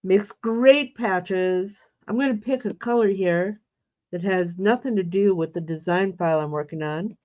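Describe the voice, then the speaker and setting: Congested woman host, slow chatty livestream. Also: medium pitch, darkish tone, highs cut off. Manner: chatty, greets viewers by name. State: congested with a cold. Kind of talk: casual livestream chat.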